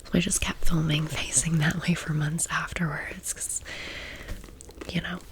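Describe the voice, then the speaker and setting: An American woman in her thirties whispering an ASMR storytime in a breathy, casual, confiding, rambling way. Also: deep voice, flat delivery, measured pace, slight background noise. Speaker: ASMR video host.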